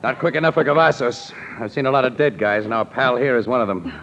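An American actor playing a wry, hard-boiled crime photographer in a dry, laconic 1940s radio drama style. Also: male voice, mid-range voice, fast talker, long reverb tail, heavy background noise, lightly acted. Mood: dry, skeptical. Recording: dull old radio recording, music behind.

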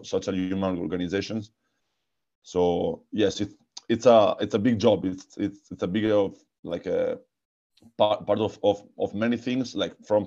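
A man with an Italian accent, a guest answering interview questions, speaking haltingly with many repeated words and restarts. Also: sits low, dark tone, highs cut off. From Italy. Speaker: guest, futsal coach and technical director.

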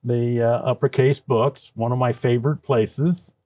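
A man's voice: medium pitch, fairly even intonation, unhurried, a little mellow, a little hiss or hum, lightly acted. A man playing Santa Claus as a jolly, folksy old man, chatting casually and unhurriedly in an interview. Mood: warm, sincere.

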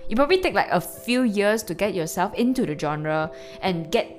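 A woman in her early twenties with a low voice, hosting a rambling, casual personal monologue full of fillers and restarts. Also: lively intonation, moderate pace. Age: early 20s. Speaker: podcast host. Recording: background music clearly audible.

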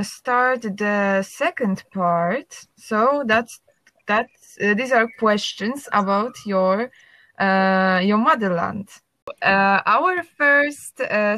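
Interviewer: woman, unhurried and hesitant, dry close sound. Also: mid-range voice, pushed and bright, no echo. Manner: slow, hesitant, with pauses. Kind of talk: casual interview.

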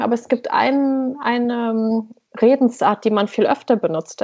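A woman's voice: on the high side, highs cut off. A woman chatting hesitantly as an interview guest over an internet call, often searching for words. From Germany. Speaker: podcast guest, emigrant blogger. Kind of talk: casual conversational interview.

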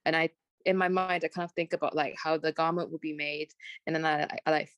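Young adult Malaysian woman, mixed transatlantic accent, lowish voice, dry close sound, rambling guest. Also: level tone, quick pace, no echo. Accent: Malaysian with British and American traces. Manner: rambling, many "like" and "um" fillers, self-restarts. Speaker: guest, fashion graduate. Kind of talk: casual podcast interview.

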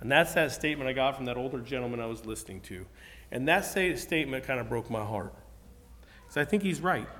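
A man's voice: mid-range voice, lively intonation, fast talker, fairly bright tone. A man preaching a sermon to a congregation, earnest and conversational, often restarting his sentences. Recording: long hall reverb.

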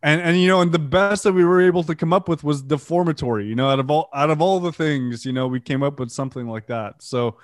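A man's voice: fairly high pitch, fast, few pauses, dry recording, clean studio sound. A French Canadian man, a metal band's vocalist, telling his band's story in a casual interview, rambling with fillers and casual swearing. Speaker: band vocalist and guitarist.

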